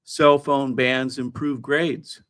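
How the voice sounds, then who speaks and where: Halting man counting down the news. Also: medium pitch, steady tone, a bit bright. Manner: halting, with frequent throat clearing and wry asides. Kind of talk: news commentary, part read, part ad-libbed.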